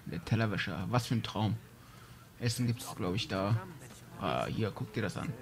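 A man's voice: on the low side, normal speed, a crowd in the background. A young man giving casual, joking commentary while playing a video game. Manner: joking, offhand remarks. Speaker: let's play commentator.

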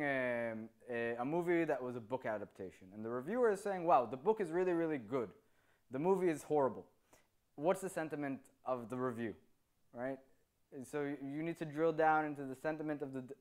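A man's voice: medium pitch, brisk. Hebrew-speaking man on a handheld mic. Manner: animated, gestures with free hand, tags sentences with "right?". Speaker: conference speaker. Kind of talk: answering audience questions. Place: meeting room with audience. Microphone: handheld microphone.

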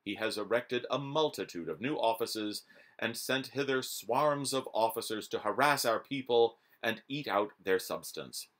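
A man in his forties reading a historical document aloud in a formal, measured, declamatory manner. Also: medium pitch, fairly bright tone. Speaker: reader. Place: small room at home, indoors.

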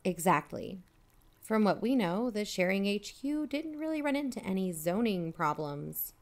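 A woman's voice: medium pitch, big pitch swings, moderate pace. A woman playing an earnest podcast investigator in an audio drama, questioning and keeping to the point. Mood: curious.

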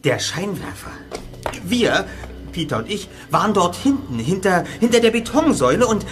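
A man's voice: sits high, very animated, normal speed, over music. An actor playing a clever, confident teenage boy detective in a radio play, precise and a little smug. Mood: explaining. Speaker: lead teen detective.

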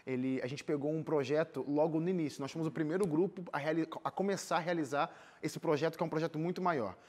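A man's voice: fairly high pitch, steady tone, fast, darkish tone. A young man with a Brazilian accent telling personal stories informally and animatedly as an interview guest in a TV studio. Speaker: interview guest, singer and missionary volunteer. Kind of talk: casual personal storytelling in an interview. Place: TV studio, seated.

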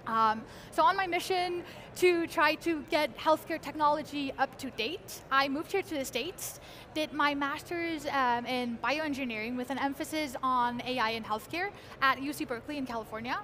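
A woman's voice: sits high, normal speed, fairly bright tone, slight background noise. A young woman from Iceland with an Icelandic accent, speaking as an interview guest at a convention booth, eager and articulate. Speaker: guest, research engineer. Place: large convention hall booth, indoors.

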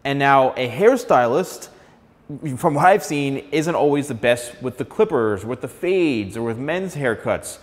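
A man's voice: medium pitch, expressive, measured pace. A man, a hairstylist talking casually to camera, gesturing and saying "all right" often. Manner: conversational, gestures a lot, frequent "all right". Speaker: hairstylist and channel host. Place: large studio room with piano, indoors. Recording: long reverb in a bare room.

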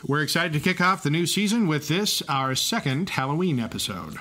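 Male co-host with a medium-pitched voice. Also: lively intonation, a little fast, pushed and bright. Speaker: podcast co-host. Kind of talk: semi-scripted banter and exposition.

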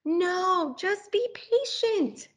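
Bossy picture-book caterpillar, voiced by a woman, exasperated and curt, highly varied pitch. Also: expressive, drawn-out words, fairly bright tone, heavily acted. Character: exasperated, bossy fellow caterpillar urging patience.

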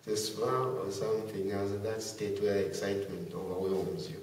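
Teenage boy from Zambia, deep voice, soft-spoken interviewee, far camera mic with roomy reverb. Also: level tone, brisk, a little hiss or hum. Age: teens. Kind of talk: casual interview answers. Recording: echoey room from far off.

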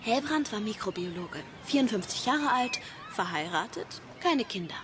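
An actress playing a curious, cheeky journalist in naturalistic radio-play dialogue, conversational in manner. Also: female voice, mid-range voice, lively intonation, moderate pace, noisy background, close to an everyday voice. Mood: teasing, matter-of-fact.

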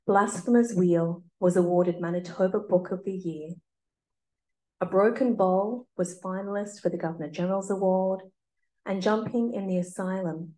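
Mellow-voiced woman introducing a poet. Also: mid-range voice, poor audio. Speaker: event host introducing a featured poet. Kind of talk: scripted spoken introduction at a poetry reading.

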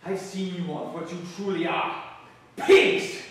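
A man's voice: high-pitched, flat delivery, fairly quick, fairly bright tone, slight background noise. An actor playing a fiery male revolutionary in disguise as a duke, ranting scornfully in theatrical declamation. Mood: furious. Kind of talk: audio drama acting. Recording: echoey hall, voice from far off.

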